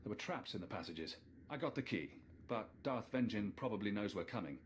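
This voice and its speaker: A man's voice: lowish voice, expressive, a little fast, slight background noise, close to an everyday voice. A man playing a loyal apprentice warrior in a video game, with an earnest, dramatic delivery.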